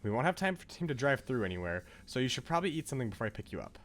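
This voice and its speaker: A man's voice: mid-range voice, very animated, very fast, pitched above the performer's own voice. A man voicing a bubbly, proud, chatty middle-aged mom in a visual novel, gushing as she leaves a voicemail. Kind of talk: visual novel read-aloud.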